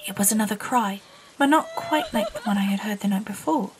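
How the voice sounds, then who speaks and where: An actress playing a lonely, curious young girl who narrates her own story in a breathy, hushed way. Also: mid-range voice, wildly swooping pitch, fairly quick, background noise. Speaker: narrator and lead character. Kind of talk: audio drama narration.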